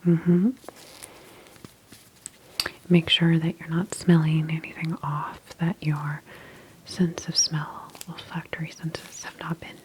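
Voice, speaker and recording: Asmr lady whispering as a doctor, super slow and gentle, lowish voice, some noise behind her. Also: completely flat delivery. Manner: whispery, gentle, unhurried personal attention. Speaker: ASMR performer playing a doctor. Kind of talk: soft-spoken and whispered ASMR medical roleplay.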